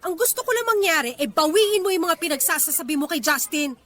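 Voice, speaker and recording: A woman's voice: extremely high pitch, expressive, quick pace, fairly bright tone. A woman acting a feisty, indignant, lovestruck young woman in an audio drama. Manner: indignant, combative. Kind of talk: acted Tagalog audio drama dialogue.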